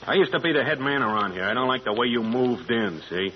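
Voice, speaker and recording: An actor playing a tough, suspicious gang boss with blunt, slangy talk in old-time radio drama. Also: male voice, mid-range voice, animated, fast talker, natural voice. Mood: pushy, suspicious. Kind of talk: 1930s-40s radio drama acting. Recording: dull, lightly noisy old radio recording.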